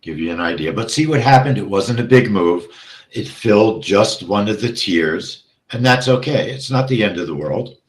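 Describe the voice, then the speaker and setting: A man teaching a live trading session, explaining the setup step by step and checking in with "okay?", his voice going hoarse as he clears his throat. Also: fairly low pitch, expressive, normal speed, reverberant. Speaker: trading instructor.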